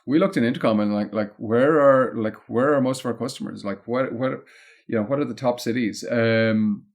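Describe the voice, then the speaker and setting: A man's voice: medium pitch, moderate pace. An Irish man speaking as an interview guest, casually and discursively, thinking aloud as he goes. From Ireland. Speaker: podcast guest, content marketing consultant.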